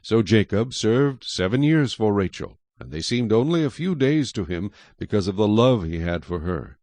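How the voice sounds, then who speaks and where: A male narrator reading scripture as a dramatic story. His voice is on the low side with lively, expressive intonation. The recording is close and dry. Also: moderate pace, no room sound.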